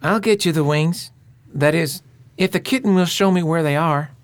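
A woman playing a brave, practical young farm boy in a radio play, with a brisk, confident manner. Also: lowish voice, close to an everyday voice. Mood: determined.

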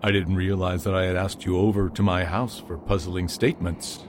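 A man's voice: sits low, steady tone, normal speed, close to an everyday voice. A man playing a curious, dry-humoured detective friend in a radio play, speaking formally and wryly. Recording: faint background noise.

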